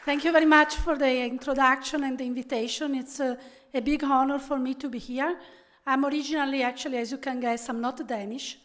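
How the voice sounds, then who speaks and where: A middle-aged woman from Italy with an Italian accent, giving a conference keynote in a friendly, conversational way with frequent pauses and fillers. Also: high-pitched, steady tone, some chatter behind. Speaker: keynote speaker.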